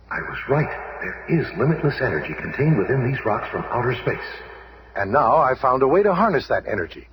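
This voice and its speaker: An actor playing an earnest, authoritative scientist who leads superheroes, explaining formally in a 1970s radio drama. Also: male voice, medium pitch, lightly acted, low-quality recording. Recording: old radio recording, faint noise.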